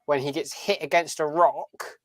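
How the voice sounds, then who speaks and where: A man's voice: on the high side, steady tone, moderate pace, a bit bright, dry recording. A man co-hosting a podcast, chatting casually as a fan and often repeating phrases as he thinks. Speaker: podcast co-host. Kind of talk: casual fan chat about a TV series.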